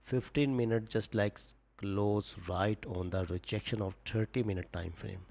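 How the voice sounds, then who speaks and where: Man, mellow dark tone, low voice, live stream coaching, lots of fillers. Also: normal speed, creaky. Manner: relaxed, repetitive fillers, coaching viewers. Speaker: forex trading streamer. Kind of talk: live stream chat reading viewer comments.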